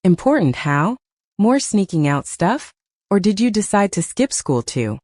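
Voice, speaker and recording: A woman's voice: medium pitch, very animated, measured pace, darkish tone, no echo, clean studio sound. A woman acting as a harsh, unforgiving mother in a roleplay story drama, accusing and cutting. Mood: suspicious. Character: furious, harsh, unforgiving mother of a teenage girl.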